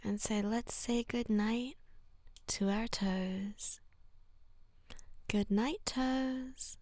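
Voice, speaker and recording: A woman leading a slow, soothing guided relaxation in a soft, breathy, often near-whispered voice, gentle and unhurried with long pauses. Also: a little high, no room sound. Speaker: guided meditation narrator.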